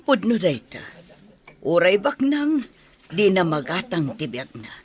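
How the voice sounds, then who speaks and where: Animated, fretful village mother, played by a woman. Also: fairly low pitch, unhurried. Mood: conversational. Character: anxious, questioning middle-aged village mother. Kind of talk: radio drama acting in Ilocano.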